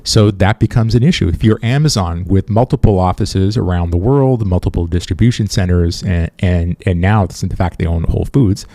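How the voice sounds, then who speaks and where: A man's voice: low voice, normal speed, few pauses, dark tone, no echo. A man whose first language is French, hosting a solo podcast on leadership in a conversational way, with frequent restarts and "right?" tags. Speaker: podcast host.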